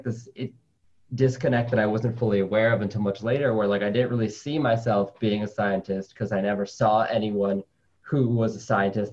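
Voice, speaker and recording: A man's voice: on the low side, darkish tone, muffled recording. A man who grew up in New York City after a move from Colombia, a spider scientist chatting casually as a guest and filling his talk with "like". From Colombia, raised in New York City. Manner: chatty, with many "like" fillers, laughs easily. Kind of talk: casual conversational interview.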